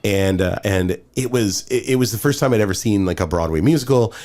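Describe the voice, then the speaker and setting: A man, a priest, hosting a casual podcast interview, talking with animated enthusiasm and plenty of fillers. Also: lowish voice, brisk.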